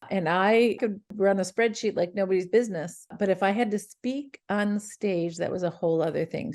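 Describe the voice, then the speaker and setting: A middle-aged woman speaks straight to her listeners as a podcast host, earnest and coaching. Her voice sits at an ordinary middle pitch for a woman, and she talks in a motivational, encouraging way. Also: darkish tone. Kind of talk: motivational talk.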